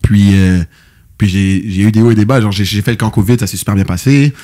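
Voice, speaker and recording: A young man with a Québécois accent talks fast on a podcast, piling up fillers and slang as he tells his stories. His voice sits fairly low and sounds mellow and relaxed. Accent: Québécois French. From Quebec, Canada. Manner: quick, loose storytelling with many fillers and slang. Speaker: guest, football player. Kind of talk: casual podcast chat.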